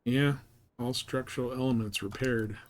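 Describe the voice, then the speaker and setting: A man's voice: mid-range voice, steady tone, moderate pace. A man chatting casually and thinking aloud while playing on a gaming livestream, in a rambling, colloquial way. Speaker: live streamer playing a space game.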